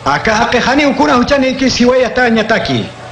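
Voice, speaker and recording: Un hombre que interpreta a un protagonista sereno que hace preguntas en una radionovela. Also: male voice, extremely high pitch, very animated, a little fast, a bit bright, a little hiss or hum, heavily acted. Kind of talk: radio drama acting.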